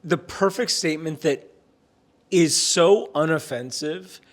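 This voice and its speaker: A man's voice: a little high, measured pace. A young man vlogger chatting casually and joking with his partner. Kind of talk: casual chat.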